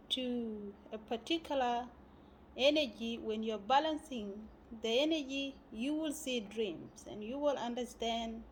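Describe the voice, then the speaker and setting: A woman from South Sudan giving a spiritual talk, often pausing and searching for words. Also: a little high, a little hiss or hum, low-quality recording. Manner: halting, searching for words with many fillers. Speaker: spiritual teacher.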